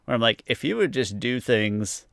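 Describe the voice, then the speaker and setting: A man's voice: medium pitch, very animated, moderate pace, creaky, fairly bright tone, no echo, studio quality. A man co-hosting a podcast, chatting casually and with opinions about a TV show. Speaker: podcast co-host. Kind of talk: casual TV review chat.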